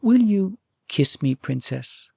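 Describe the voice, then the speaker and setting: A man's voice: high voice, big pitch swings, takes it slowly, creaky, warm tone, slightly rough, no echo, clean studio sound, pitched above the performer's own voice, exaggerated voice. A man voicing a patient, lovesick young prince in a fairytale, humble, earnest and faint. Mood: dying, tender.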